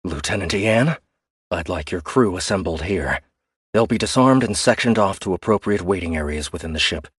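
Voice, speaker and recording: A man voicing a small, cunning, rodent-like alien soldier who gives calm, clipped commands in an ordinary low voice. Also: normal speed, breathy edge, no room sound, studio quality, heavily acted. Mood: cool, commanding.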